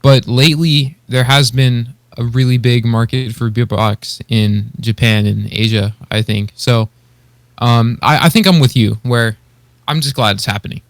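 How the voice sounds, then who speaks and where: A man's voice: mid-range voice, fairly even intonation, measured pace, no echo. A man chatting casually as a guest on a podcast.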